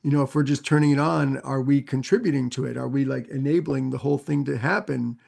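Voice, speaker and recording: Gravelly, hesitant male podcast co-host. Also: mid-range voice, fairly even intonation, fast, darkish tone. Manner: hesitant, many fillers and restarts, asks questions. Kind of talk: casual chat.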